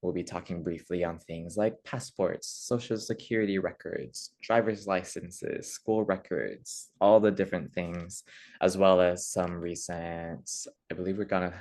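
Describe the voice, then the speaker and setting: A woman with a very deep, low voice hosts an online webinar. She talks in an upbeat, friendly way, full of fillers and eager enthusiasm, heard through a computer mic on a video call. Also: unhurried, darkish tone. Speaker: webinar host, community education manager.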